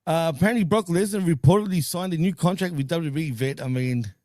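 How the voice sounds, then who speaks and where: A man's voice: a little high, fast talker, darkish tone, no echo, clean studio sound. A man hosting a casual wrestling podcast, laid-back, laughing often and hedging with fillers. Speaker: podcast host.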